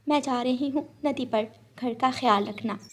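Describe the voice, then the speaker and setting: A woman voicing a weary, worried mother bird in a cartoon, gently admonishing. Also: on the high side, moderate pace.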